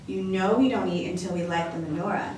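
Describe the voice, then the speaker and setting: A woman's voice: on the low side, brisk, darkish tone, lightly acted. A woman voicing a kindly, cheerful old grandmother who talks with fond teasing, in an echoey room away from the mic. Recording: echoey room, mic at a distance, faint noise.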